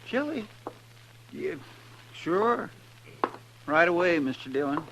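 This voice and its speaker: An actor playing an eager, talkative deputy in a 1950s radio western, with a rustic, folksy way of speaking. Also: male voice, a little high, big pitch swings, slow, heavily acted. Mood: obliging. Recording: noisy 1950s radio recording.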